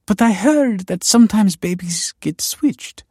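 A desperate woman acted out by a male narrator begs in a high, breathy, emotional voice that swoops about. She sounds imploring and urgent, as in an audiobook drama, recorded close and dry. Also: animated, measured pace, darkish tone, no room sound, full character voice. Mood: hesitant. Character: desperate pleading woman begging for a baby.

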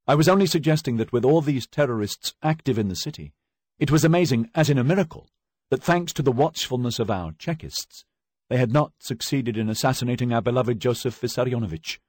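Frightened middle-aged woman defendant, careful and pleading, pitched up high, as a male narrator puts her on. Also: darkish tone, slightly rough, no room sound, natural voice. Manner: pleading, careful formal answers. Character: weary, frightened middle-aged actress on trial.

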